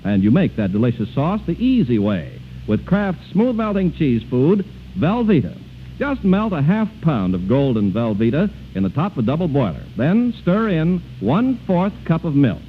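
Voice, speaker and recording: A man's voice: a little high, wildly swooping pitch, normal speed. An American man announcing in a polished, emphatic sales style, like a 1940s radio commercial. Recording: tinny, noisy 1940s radio broadcast.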